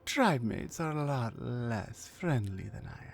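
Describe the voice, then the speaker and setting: A woman playing a dominant, mean orc warrior in an ASMR roleplay, mocking and deliberate, with drops into breathy whispers. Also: extremely low pitch, expressive, heavily acted. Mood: coldly sarcastic. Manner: menacing, mocking, slow and deliberate, often dropping to breathy whispers. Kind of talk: ASMR roleplay, slow dramatic monologue.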